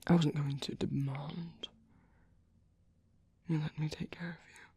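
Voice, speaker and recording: A man playing a gentle, caring vampire priest in a whispered ASMR roleplay, speaking slowly and tenderly with soft, hesitant reassurance. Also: on the high side, flat delivery, dry recording, full character voice. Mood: reassuring, tender. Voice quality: soft, breathy, husky whisper.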